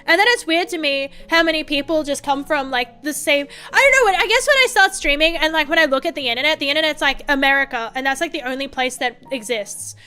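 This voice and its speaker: Bright high-voiced Australian woman rambling. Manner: rambling, swears often, quick laughs. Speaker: vtuber streamer. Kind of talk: casual chat to viewers. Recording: faint music behind.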